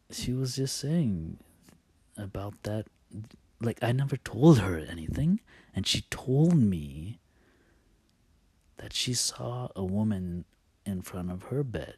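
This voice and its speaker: A man with a lowish voice talks casually and openly about his own past. He thinks aloud, halting and wandering before picking the story back up. The sound is very dry, with no room echo. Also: warm tone. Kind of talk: casual, confessional personal storytelling.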